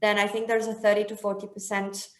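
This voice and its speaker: A woman hosting a webinar Q&A on a video call, talking in a halting way with many um fillers. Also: medium pitch, completely flat delivery. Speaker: webinar host. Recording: roomy video-call sound.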